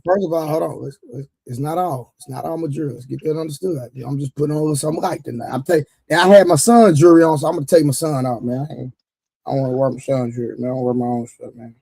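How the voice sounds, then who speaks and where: A hoarse man hosting a live panel stream, talking in loose, teasing slang. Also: fairly high pitch, racing, warm tone, dry recording. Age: adult with an eleven-year-old son. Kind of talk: casual live-stream banter.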